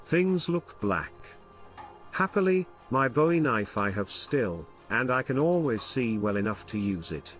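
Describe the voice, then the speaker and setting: An indignant big harpooner from a classic sea adventure audiobook, voiced by a male narrator, blustering and defiant in his exclamations. He sits at a middling pitch, with faint music underneath. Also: big pitch swings, measured pace, fairly bright tone. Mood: defiant, grim. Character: angry, blustering Canadian harpooner, a big man. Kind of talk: dramatised audiobook reading.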